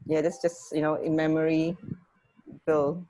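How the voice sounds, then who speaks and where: A woman co-hosting a radio show, chatting casually and haltingly over an internet connection. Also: sits low, level tone, dark tone, poor audio. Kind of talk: casual chat.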